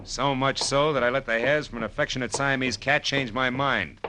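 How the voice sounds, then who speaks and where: An actor playing a sardonic, commanding private detective in a 1940s radio crime drama, with clipped, slangy delivery. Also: male voice, medium pitch, steady tone, fairly quick, bright, forceful tone, natural voice. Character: hard-boiled wisecracking male private detective, sardonic and commanding. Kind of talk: 1940s radio crime drama acting with first-person narration. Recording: noisy, clicky vintage radio recording.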